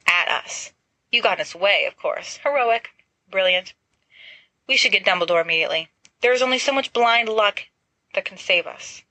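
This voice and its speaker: A woman reading a sensible teenage boy wizard with deadpan, clipped sarcasm in an audiobook story. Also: medium pitch, normal speed, no echo, poor audio. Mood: dry sarcasm.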